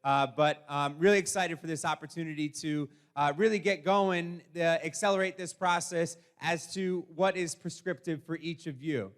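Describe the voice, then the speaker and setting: A man speaking as a school administrator, welcoming an audience in an informal, somewhat halting way. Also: fairly high pitch. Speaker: school administrator opening an event.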